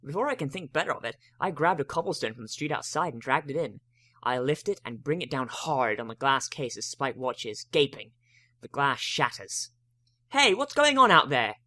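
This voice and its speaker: A man giving a dramatic first-person reading of a story, expressive and wry. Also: medium pitch, moderate pace, no room sound. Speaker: narrator of a fan fiction reading.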